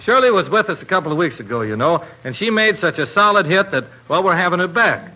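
A man's voice: sits high, expressive, fast, pushed and bright, low-quality recording. A man hosting a 1940s radio comedy show in a breezy, slangy way, on an old broadcast recording. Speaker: show host.

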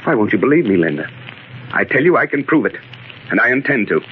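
An actor playing a confident young prosecuting attorney in a 1940s radio crime drama, measured, sardonic and American-accented. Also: male voice, medium pitch, big pitch swings, natural voice. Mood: confident, threatening. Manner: measured, sardonic, self-assured. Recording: tinny, noisy old radio broadcast recording.

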